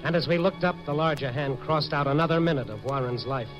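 An actor playing a tough, insistent newspaper reporter in an American accent, in 1950s radio noir style. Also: male voice, on the high side, fast talker, close to an everyday voice. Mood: grim, tense. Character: urgent, hard-driving male newspaper reporter. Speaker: lead radio drama actor and narrator. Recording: muffled 1950s radio, music behind.

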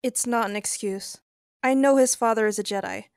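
Woman acting a sorry young girlfriend, high pleading voice, super earnest, dry audio drama recording. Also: normal speed, creaky, darkish tone, clean tone, no room sound. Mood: defensive, pleading.

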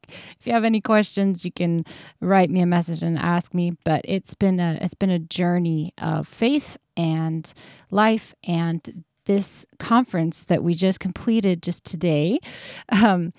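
A woman's voice: lowish voice, vocal fry, a little mellow, no room sound, studio quality. A woman in her thirties hosting a podcast, talking casually and laughing often as she introduces and interviews guests. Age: 30s. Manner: chatty, upbeat, laughs often. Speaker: podcast host.

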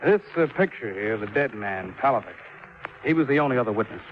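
An actor playing a serious, guarded chief insurance adjuster in a 1950s radio drama, speaking gravely and deliberately. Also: male voice, medium pitch, expressive, natural voice. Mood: guarded, earnest. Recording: dull, noisy 1950s radio recording.

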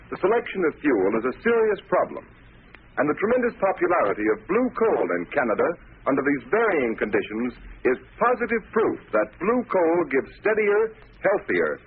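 A man's voice: fairly high pitch, a little hiss or hum. An American man announcing a scripted 1930s radio commercial in a formal, emphatic sales style. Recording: tinny phone-like vintage radio recording.

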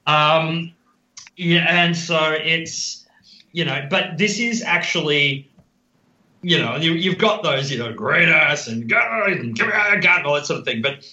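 A man, a podcast guest, discussing film casually and haltingly as he thinks aloud. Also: fairly high pitch, sharp tone. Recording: dull, roomy sound.

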